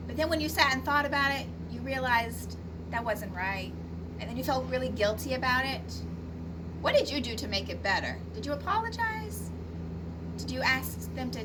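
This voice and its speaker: A woman's voice: high voice, far from the mic, very noisy. A woman reading a children's story aloud expressively, speaking directly to young listeners with questions and asides. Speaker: teacher reading a picture book aloud.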